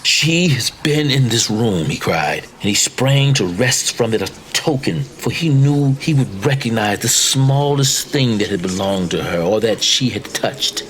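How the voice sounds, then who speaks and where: A man reading a macabre literary tale as dramatic narration, with theatrical, deliberate phrasing. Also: on the low side, expressive, rough recording. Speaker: story reader. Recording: faint background noise and ambience.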